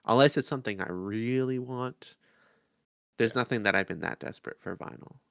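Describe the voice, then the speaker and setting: Male podcast co-host, conversational, with noticeable vocal fry and a dry, close sound. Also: medium pitch, moderate pace, fairly bright tone, dry recording. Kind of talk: casual review podcast chat.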